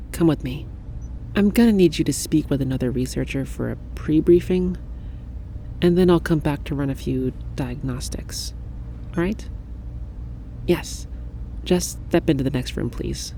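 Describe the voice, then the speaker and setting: A woman playing a secretive, businesslike researcher in a soft-spoken ASMR roleplay, speaking in a politely official but halting way. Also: low voice, a little hiss or hum. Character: suspicious young female linguist researcher, secretive and businesslike. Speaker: researcher at a parapsychology institute.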